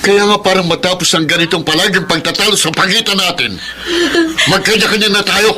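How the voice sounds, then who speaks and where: A man's voice: high voice, brisk, sharp tone. A man playing a harsh, resentful father in a Filipino radio drama, speaking with cold, blunt scorn and a Filipino accent. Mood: angry, fed up.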